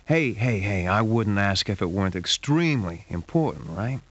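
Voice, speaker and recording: An actor playing a wisecracking, streetwise private investigator in a video game, with wry, casual, slangy delivery. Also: male voice, lively intonation, normal speed, a bit bright. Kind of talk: video game hero acting. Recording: dull, hissy low-fidelity game audio.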